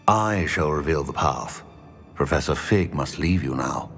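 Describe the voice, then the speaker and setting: An old wizard professor with a very low voice that rises and falls dramatically. He is imperious and solemn, speaking formally in a fantasy video game, with faint music underneath. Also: expressive, a little slow, a bit bright, exaggerated voice. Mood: stern, grave.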